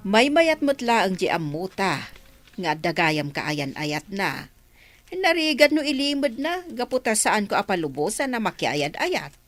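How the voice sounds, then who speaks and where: Lively, caring Filipino mother. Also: medium pitch, unhurried, soft background music. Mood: reasoning. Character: caring, indulgent middle-aged mother of a student. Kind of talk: radio drama acting.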